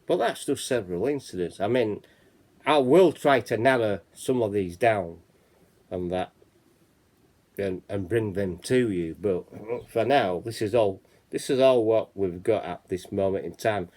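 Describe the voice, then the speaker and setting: A man's voice: lowish voice, measured pace, darkish tone. A man with a Yorkshire accent hosting a casual chat channel, reading out reports and rambling, often saying "you know what I mean". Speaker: channel host.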